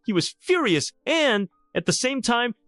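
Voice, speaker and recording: A man's voice: extremely high pitch, sing-song, a little slow, bright, forceful tone, no echo, clean studio sound, soft background music. A man narrating a scripted romantic drama in a theatrical, emphatic storytelling style.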